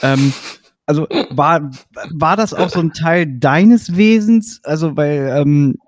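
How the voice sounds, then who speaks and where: A man's voice: on the high side, takes it slowly, dry recording. A male podcast co-host in a loose, joking chat. His questions ramble on, and the sound is close and dry, with no room around it. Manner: jokey, chatty, rambling questions. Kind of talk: casual interview chat.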